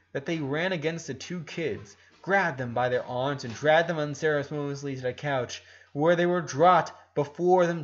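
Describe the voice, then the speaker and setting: A man's voice: mid-range voice, highs cut off. A man narrating a fan fiction story aloud in a dramatic reading style.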